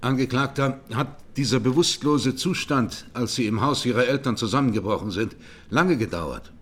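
An actor playing a methodical presiding judge in a radio courtroom drama, questioning formally and insistently. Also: male voice, mid-range voice, normal speed, fairly bright tone, slightly rough. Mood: probing. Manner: formal, terse, probing.

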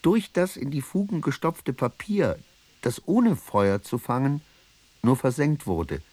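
A man's voice: mid-range voice, lively intonation, measured pace, darkish tone, no room sound. A man playing a troubled diarist, narrating expressively in a literary radio play. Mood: uneasy, intense.

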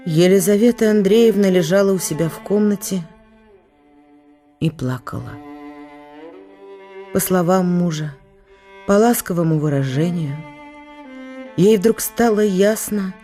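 A woman reading scripted literary narration for a radio drama in a measured, pensive way. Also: lowish voice, warm tone, faint music behind.

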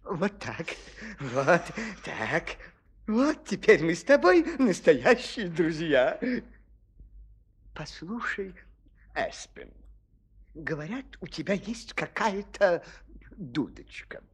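A vain fairy-tale king for a children's audio play. His husky voice swoops wildly as he blusters and coaxes. He is pompous through and through. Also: a little high, big pitch swings, takes it slowly, pauses often, heavily acted. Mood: coaxing, sly. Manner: pompous, swinging from blustering to coaxing.

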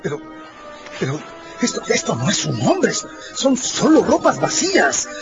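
A man acting as an agitated, bossy, flustered policeman in a radio drama, excitable and exclaiming. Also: sits high, unhurried, highs cut off, over music, exaggerated voice. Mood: baffled.

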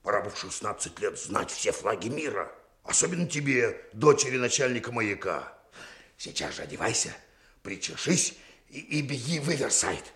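An actor playing a gruff, sarcastic middle-aged lighthouse keeper and scolding father in a 1960s Soviet radio drama. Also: medium pitch, big pitch swings, normal speed, fairly bright tone. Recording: noisy old radio recording.

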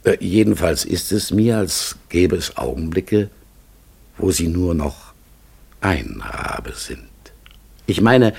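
An actor playing a gentle, melancholy talking raven in a radio play, halting and solemn. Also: male voice, low-pitched, full character voice. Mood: awed, hushed. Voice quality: husky, gravelly, breathy. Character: melancholy talking raven exiled among humans, searching, gentle. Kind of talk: German radio play acting.

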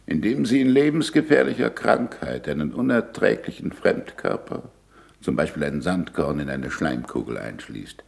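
An actor playing a blunt, sardonic, impatient older scientist in a 1960s German radio drama, with emphatic theatrical delivery. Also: male voice, very deep voice, sing-song, moderate pace, vocal fry, a little mellow, full character voice. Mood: gruff, didactic.

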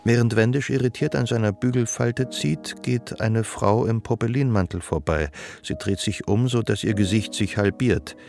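A detached male narrator in a literary radio play. His low voice is gravelly with fry, and he describes things in measured phrases. Soft music sits underneath.

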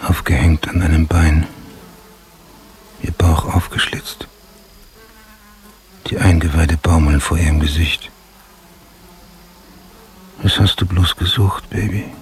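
Tired noir cop muttering to himself, low n gravelly, slow, noisy recording. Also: male voice, steady tone. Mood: shaken, grim. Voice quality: low, gravelly, often murmured. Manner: terse, brooding, muttering to himself. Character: weary, brooding male homicide detective sergeant in Los Angeles. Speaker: actor. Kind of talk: noir radio drama, clipped first-person monologue.

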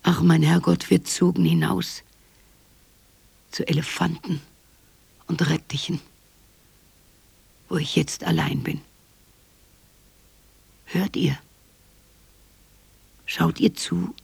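An extremely creaky, breathy half-whisper from a wistful, lonely mother figure in a radio drama. The phrases are short, slow and incantatory, intimate as if soothing children. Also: low voice, steady tone, darkish tone, no room sound, exaggerated voice.

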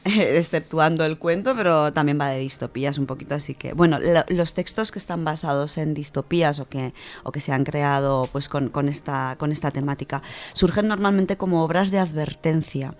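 Low-voiced woman explaining on radio. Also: moderate pace. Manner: thoughtful, with frequent hesitations and restarts. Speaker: radio host presenting a book segment.

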